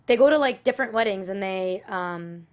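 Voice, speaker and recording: A woman's voice: medium pitch, normal speed, a little mellow. A woman hosting a casual talk show, chatty and rambling as she leads each segment. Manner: chatty, rambling, many fillers. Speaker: host. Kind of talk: casual chat, leading the segments.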